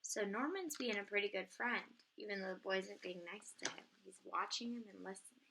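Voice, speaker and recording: A woman reading a picture book aloud to young children like a teacher, expressively, pausing to ask simple questions. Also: mid-range voice, bright, forceful tone, a little hiss or hum, poor audio.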